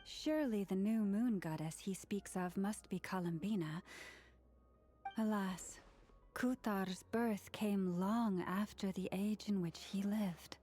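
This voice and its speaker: A woman playing a calm, observant companion in a video game, speaking in a reflective, slightly formal way. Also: mid-range voice, slow, lightly acted. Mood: thoughtful. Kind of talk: video game character acting. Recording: background music.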